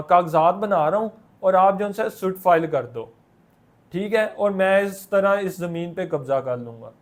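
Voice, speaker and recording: A man with a Pakistani accent explaining material like a lecturer, in a colloquial way with repeated filler phrases and English terms mixed in. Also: sits high. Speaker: law lecturer.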